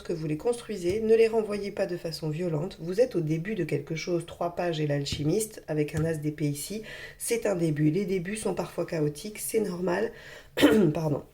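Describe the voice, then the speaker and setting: Hoarse woman giving a tarot reading. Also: on the low side. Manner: gentle, reassuring, many filler pauses. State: hoarse from a cold. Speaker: tarot reader. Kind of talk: tarot card reading for viewers.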